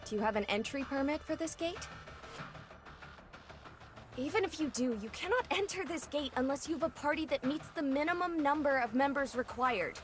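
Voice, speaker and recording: High-voiced officious young guard. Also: female voice, animated, moderate pace. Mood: stern. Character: officious young female gate guard, flustered but by-the-book. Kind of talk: English game dub acting. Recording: music behind the voice.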